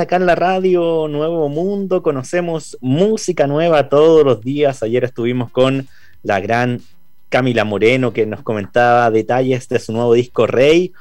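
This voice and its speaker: A male radio host, interviewing a guest and often pausing on "eh". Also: a little high, no echo. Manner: hesitant with frequent "eh" fillers.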